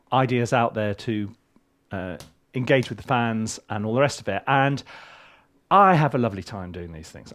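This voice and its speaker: A man giving a casual video tutorial as a composer and tutor, with a playful, rambling and animated way of talking. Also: mid-range voice. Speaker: film composer and music tutor.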